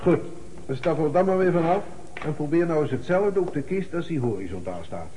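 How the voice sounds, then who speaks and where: An actor playing a methodical, commanding police inspector in a Dutch radio drama, questioning and giving brisk orders. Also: male voice, a little high, animated, mellow, close to an everyday voice. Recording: noisy old radio play recording.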